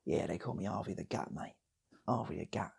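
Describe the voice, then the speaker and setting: A man playing a blunt, laconic, slow-talking PE teacher in a comic story, deadpan and matey. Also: low voice, vocal fry, husky, exaggerated voice.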